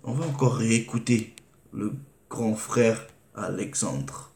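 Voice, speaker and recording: A man preaching, reading scripture slowly and deliberately and asking rhetorical questions. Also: on the low side, gravelly, a little mellow, a little hiss or hum. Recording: echoey room.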